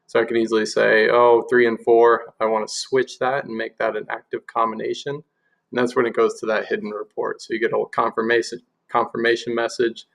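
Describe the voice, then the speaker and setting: Gravelly-voiced man giving a software walkthrough. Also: medium pitch, little pitch movement, a little fast. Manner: explanatory, step by step. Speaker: software demonstrator. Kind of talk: informal screen-share software walkthrough.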